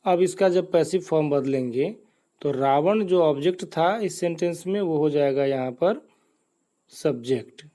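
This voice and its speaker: Slow, mellow Indian male grammar teacher. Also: fairly high pitch. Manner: methodical, explanatory, poses questions and answers them. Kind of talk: step-by-step lesson in Hindi with English examples.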